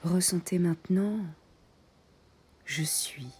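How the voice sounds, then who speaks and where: A woman guiding a meditation in soft, breathy short phrases with long pauses, often close to a whisper. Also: lowish voice, a little hiss or hum. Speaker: yoga nidra meditation guide. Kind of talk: guided meditation.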